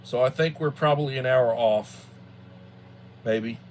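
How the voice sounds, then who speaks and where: A man in his early sixties chatting casually on a livestream, with a halting, folksy manner and a habit of repeating himself. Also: medium pitch, a little mellow, a little hiss or hum. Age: early 60s. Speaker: livestream host, school worker. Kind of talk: casual rambling livestream chat.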